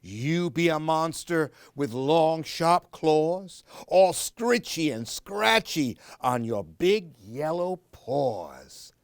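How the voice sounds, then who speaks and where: A woman's voice: low voice, sing-song, very slow, few pauses, no echo, voice pushed up, heavily acted. A woman reading aloud as a playful three-year-old boy, chanting bouncy rhymes. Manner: sing-song, bouncy rhymes. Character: playful little boy pretending, aged three.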